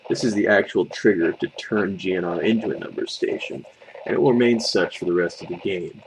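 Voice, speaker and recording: Deep, creaky-voiced man narrating horror. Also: fairly quick, slight background noise, rough recording. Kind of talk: scripted creepypasta narration.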